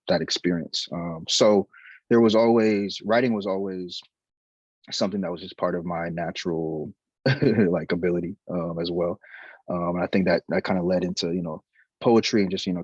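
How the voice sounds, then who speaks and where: Interview guest: young man, deep and husky, dry muffled sound, halting delivery. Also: no room sound. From Liberia. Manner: hesitant, many fillers and restarts. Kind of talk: casual career interview.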